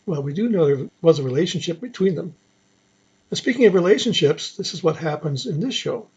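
A man's voice: fairly high pitch, expressive, brisk, a little mellow, breathy edge, dull audio. A man presenting old radio programs in an informal, musing, conversational way.

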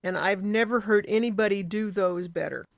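A woman's voice: mid-range voice, normal speed, creaky, dry recording. Older woman, dry close sound, chatting. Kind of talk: casual chat.

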